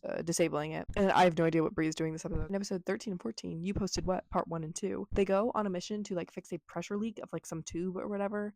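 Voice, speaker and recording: Young woman vlogger, quick casual talker, stuffy nose, dry close sound. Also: fairly low pitch, a little mellow, no room sound. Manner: casual, chatty, many fillers, says "like" a lot. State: congested. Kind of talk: casual recap from memory. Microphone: camera mic.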